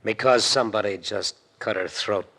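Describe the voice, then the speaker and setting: An actor playing a tough, bossy, embittered gangster in a 1940s radio drama, with an American accent and clipped, slangy speech. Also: male voice, on the low side, moderate pace, over loud music, close to an everyday voice. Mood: coldly sardonic. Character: tough, menacing gangster boss, bossy, bitter jilted husband.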